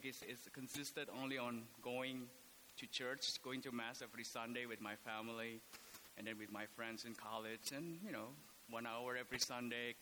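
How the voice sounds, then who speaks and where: Bright-voiced priest from Indonesia. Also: male voice, medium pitch, slight background noise, low-quality recording. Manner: halting, many fillers and restarts. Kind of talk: casual podcast talk.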